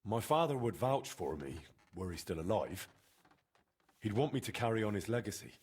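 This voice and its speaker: An actor playing an earnest young blacksmith in a video game, curious and direct. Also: male voice, lowish voice, very animated, moderate pace, a little mellow, husky. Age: young adult. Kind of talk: video game dialogue acting.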